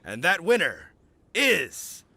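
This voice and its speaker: Slow, grandiose, bright-voiced gentleman judge. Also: fairly high pitch, huge pitch swings, vocal fry, husky, full character voice. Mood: grand, solemn. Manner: grandiose, drawn-out, dramatic delivery. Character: courteous, awestruck gentleman judging a cooking contest. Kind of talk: animated video game voice acting.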